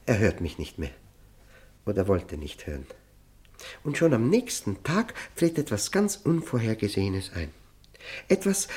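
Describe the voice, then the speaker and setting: An actor playing a desperate, obsessive male prisoner who tells of solitary confinement in a German radio play, intense and halting, often repeating words. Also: mid-range voice, darkish tone, close to an everyday voice. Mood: bitter, resigned. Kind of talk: German radio play, dramatic first-person narration.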